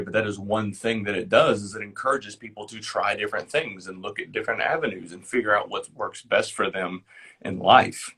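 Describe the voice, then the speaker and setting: A middle-aged man, a guest life coach, speaking in an earnest, measured way about his career. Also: lowish voice, sharp tone, husky. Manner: earnest, measured, repeats words when restarting. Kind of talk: reflective interview talk.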